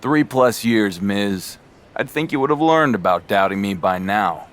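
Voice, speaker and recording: A man's voice: fairly low pitch, lively intonation, unhurried. A man playing a cocky, cool-headed wrestling champion in a game cutscene, with a dry, cutting manner.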